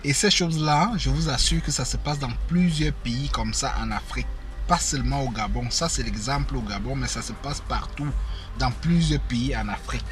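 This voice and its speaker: A man's voice: medium pitch, moderate pace, soft background music. Man chatting with viewers. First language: African mother tongue. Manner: conversational, repeats himself, frequent fillers. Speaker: YouTube channel host. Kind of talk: casual spoken commentary to viewers. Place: indoors in Germany.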